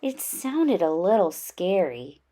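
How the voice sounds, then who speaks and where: A woman narrates a children's storybook at a slow, unhurried pace. Her pitch moves up and down in a lively way, and she reads expressively, leaning on key words. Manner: unhurried, animated reading with emphasis. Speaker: children's read-aloud storyteller.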